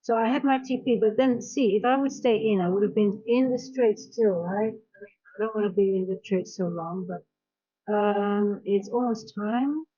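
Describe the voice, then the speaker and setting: Woman, light Dutch accent, mellow voice, muffled lo-fi audio, rambling casual stream chat. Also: medium pitch, normal speed. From Netherlands. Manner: rambling, frank, many fillers and restarts. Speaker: trading coach streaming live. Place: home in South Africa.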